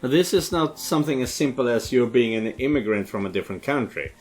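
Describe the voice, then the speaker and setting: A man's voice: mid-range voice, quick pace, breathy edge, soft background music. A man hosting a let's play, giving casual, reactive commentary as he goes through a game's dialogue. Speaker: let's-play host and narrator. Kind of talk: casual game commentary with read-aloud dialogue.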